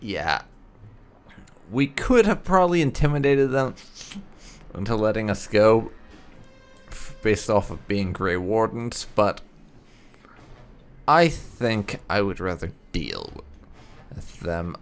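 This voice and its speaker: Slow, creaky-voiced man playing games. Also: fairly low pitch, lively intonation, soft background music. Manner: sardonic, swears casually. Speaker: gaming video host. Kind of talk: casual game commentary with light roleplay.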